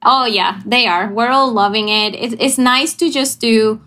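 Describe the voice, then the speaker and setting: A woman from Venezuela, a missions pastor chatting casually over a video call, laughing often and pausing on fillers. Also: fairly high pitch, bright, forceful tone. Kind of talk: casual interview chat. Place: family home.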